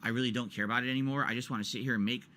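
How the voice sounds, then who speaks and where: A man's voice: medium pitch, fairly even intonation, racing, bright, forceful tone. Exasperated forty-something man ranting. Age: 40s. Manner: exasperated, repetitive, emphatic. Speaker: streamer. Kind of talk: ranting stream monologue.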